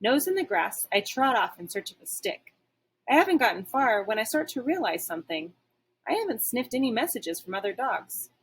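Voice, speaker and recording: A woman's voice: on the high side, sing-song, moderate pace, breathy edge, rough recording. A woman reading a children's story aloud like a teacher, expressively, with dramatic and varied emphasis.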